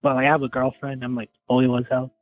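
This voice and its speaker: A man's voice: medium pitch, monotone, quick pace, no echo. A young man in his twenties calling in by phone, rambling casually and hedging with lots of "like.". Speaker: caller. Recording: tinny phone line.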